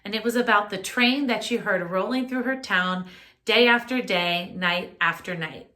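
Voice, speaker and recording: A woman music teacher leading a children's lesson, speaking in a clear, instructive way straight to young listeners. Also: medium pitch, moderate pace, sharp tone. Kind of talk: children's music lesson and read-aloud narration.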